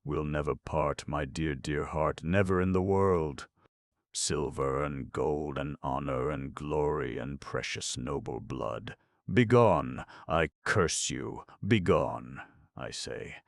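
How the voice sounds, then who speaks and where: A doting lover coaxes and pleads in tearful endearments, acted by a man reading a storybook. The voice sits deep with a gravelly edge. It is recorded close and dry. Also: lively intonation, a little slow, no room sound, exaggerated voice. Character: fond, weepy older male lover, coaxing.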